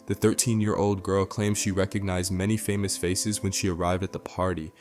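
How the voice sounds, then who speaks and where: Deep voice guy doing dramatic celeb news voiceover, bit of music behind. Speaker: narrator. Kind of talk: scripted celebrity news narration. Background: background music.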